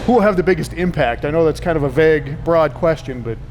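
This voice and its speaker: A man's voice: fairly high pitch, fast talker, darkish tone, soft background music. A man hosting a sports panel show, chatty and conversational, firing follow-up questions at his guests. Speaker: sports talk show host. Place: on location in a restaurant.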